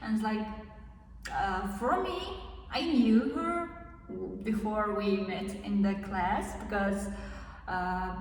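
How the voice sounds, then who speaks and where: A young woman from Mongolia whose first language is Kazakh, chatting casually and haltingly as she searches for words. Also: mid-range voice. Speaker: vlogger, international student. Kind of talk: casual chat. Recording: echoey room, mic at a distance.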